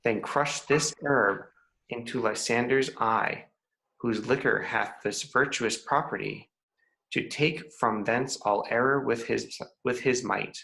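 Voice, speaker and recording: A man acting a stately fairy king in a Shakespeare play. The voice is low-leaning and gravelly, and the verse comes out slowly and measured, with commanding weight. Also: fairly bright tone, exaggerated voice, poor audio. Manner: measured, declamatory. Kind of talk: Shakespeare table read in verse.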